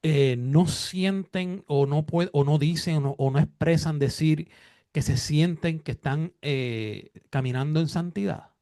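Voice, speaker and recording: A man hosting a conversational religious interview, speaking haltingly and restating his phrases and questions as he goes. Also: a little high, warm tone, dry recording, studio quality. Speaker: interview host.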